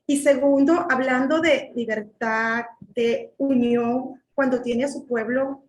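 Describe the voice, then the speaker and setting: Indignant Venezuelan woman, high voice. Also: steady tone, takes it slowly, roomy sound, a little hiss or hum. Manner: indignant, emphatic, repeats words when worked up. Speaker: political commentator. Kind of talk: heated political commentary.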